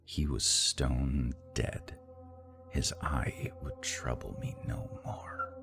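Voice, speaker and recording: Picture a crazed, cunning murderer telling his own gothic horror tale. The voice is extremely low and thick with gravel, with theatrical, intense emphasis on each phrase. Music plays clearly beneath the narration. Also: wildly swooping pitch, slow pace, heavily acted. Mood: grim, menacing. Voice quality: very deep, gravelly. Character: mad murderer confessing, gloating, cunning, unravelling into frenzy.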